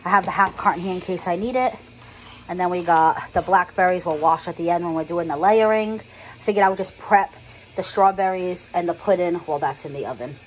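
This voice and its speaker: A woman's voice: fairly low pitch, fairly quick, slight background noise, low-quality recording. Chatty young woman vlogging. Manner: chatty, lots of "like" and restarts. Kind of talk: casual vlog chat. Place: home kitchen.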